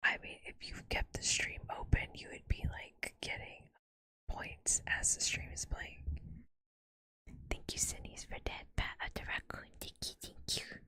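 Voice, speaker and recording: A livestreamer whispering playfully into a close microphone, making soft mouth sounds for an ASMR stream. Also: measured pace, no room sound.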